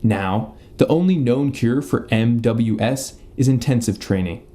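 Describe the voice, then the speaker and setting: A young man playing an officious, deadpan police detective in a comedy radio play. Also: mid-range voice, unhurried, natural voice. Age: young adult. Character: deadpan, officious male detective interrogating a suspect.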